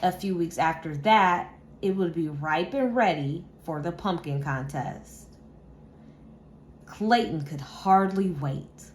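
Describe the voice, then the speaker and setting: A woman reading a children's story aloud with measured, expressive delivery, like a teacher. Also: on the low side, a little hiss or hum.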